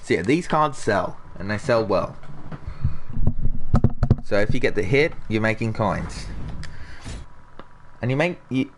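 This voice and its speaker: A man's voice: on the low side, sing-song, measured pace, pauses often, noisy background. A young man with a slightly sick, nasal voice, chatting casually over gameplay as a channel host and talking to viewers. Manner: chatty, talks to viewers and chat.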